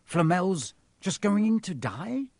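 A man's voice: high-pitched, very animated, slow pace, a little mellow, no echo, voice pushed up, exaggerated voice. A man voicing a blunt, curious schoolboy friend in a storybook, casual in his way of talking.

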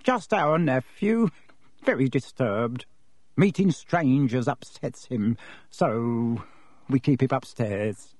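A man's voice: mid-range voice, lively intonation, slow, darkish tone, dry recording, studio quality. A man voicing a bullying, short-tempered middle-aged uncle in an audiobook. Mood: strained politeness. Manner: menacing, through gritted teeth. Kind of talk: audiobook character voice.

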